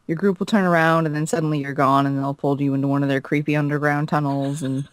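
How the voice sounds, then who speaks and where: A woman's voice: low voice, fairly even intonation, fast talker, no echo. A woman joining a let's play as a guest, with casual, joking chat.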